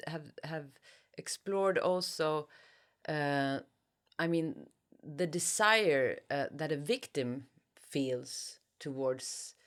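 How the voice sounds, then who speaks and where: Woman, extremely low voice, reflective author interview, halting, word-searching repetitions. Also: fairly bright tone.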